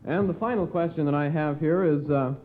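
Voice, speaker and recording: A man's voice: fairly high pitch, a little fast, a little hiss or hum. A sixteen-year-old young man formally reading out quiz questions as a guest challenger on radio. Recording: tinny, band-limited 1950s radio recording.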